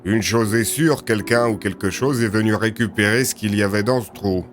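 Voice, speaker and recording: A man voicing a gruff, suspicious police captain in an audio story, questioning bluntly. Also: on the low side, moderate pace, soft background music, close to an everyday voice.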